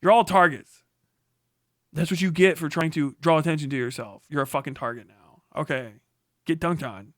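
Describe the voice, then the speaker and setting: American male livestream commentator, higher-pitched voice, dry close mic, combative and foul-mouthed. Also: moderate pace, no echo. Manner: profane, blunt and combative. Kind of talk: unscripted political reaction rant on a livestream.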